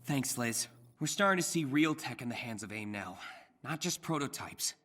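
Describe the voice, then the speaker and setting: Young male intern for a game: sincere, anxious, hesitant, very lively intonation. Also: mid-range voice, normal speed. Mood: warm concern. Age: young adult. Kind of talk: naturalistic video game voice acting.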